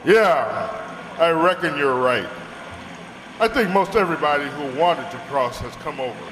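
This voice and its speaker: A man acting a plain-spoken, excitable sheriff in an old-time radio western, with a folksy manner. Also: fairly high pitch, normal speed, lightly acted. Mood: plain, concerned. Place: stage in a community hall. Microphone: stand microphone. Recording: echoey, noisy hall stage.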